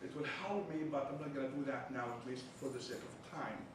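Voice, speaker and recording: A man's voice: mid-range voice, fast. A man from Palestine with a heavy Arabic accent, a university chaplain giving a seminar lecture with jokey, teasing asides. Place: lecture room. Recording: echoey room, distant mic, background noise.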